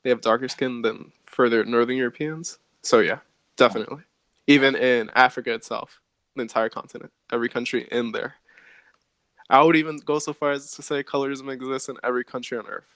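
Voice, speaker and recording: Calm male guest, dry close sound. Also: mid-range voice, brisk, no echo. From Dominican Republic. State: sleepy. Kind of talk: casual discussion.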